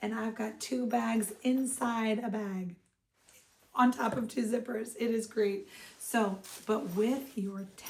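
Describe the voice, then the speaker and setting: A woman hosting a casual craft vlog, her voice hoarse and froggy, chatting upbeat with viewers she calls friends. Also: on the high side, steady tone, slow, a little hiss or hum. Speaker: craft shop owner and vlog host. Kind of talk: casual show-and-tell vlog.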